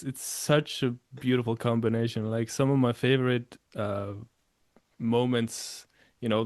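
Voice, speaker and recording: A man from Iceland, hosting a casual interview as relaxed conversation. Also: mid-range voice, dry recording, clean studio sound. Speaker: podcast host.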